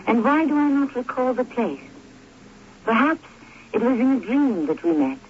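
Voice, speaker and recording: An actor as the suave host of a radio mystery, narrating in a dramatic, literary, deliberate way on an old broadcast. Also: male voice, very high voice, very animated, muffled recording, a little hiss or hum.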